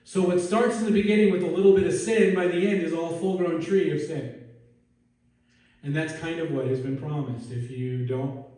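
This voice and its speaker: Male pastor, mellow warm tone, casual and self-deprecating, very echoey church recorded from a distance. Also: fairly high pitch, fairly even intonation, moderate pace. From Netherlands. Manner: casual, self-deprecating, asks the congregation questions. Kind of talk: conversational sermon. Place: church sanctuary. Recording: echoey church hall from afar.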